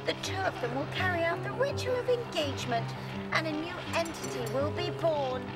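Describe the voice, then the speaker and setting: A sadistic game villainess who gloats in a singsong. The voice is extremely high and sounds distant under loud music. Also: female voice, expressive, brisk. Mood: gloating glee. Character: gleeful sadistic twin killer, sister in game. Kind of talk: theatrical video game cutscene acting.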